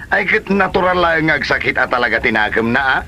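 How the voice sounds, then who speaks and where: A man's voice: mid-range voice, lively intonation, a little fast, bright, forceful tone, slight background noise. A man playing a teasing, exclaiming buddy in an Ilocano comedy drama. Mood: exclaiming, advising.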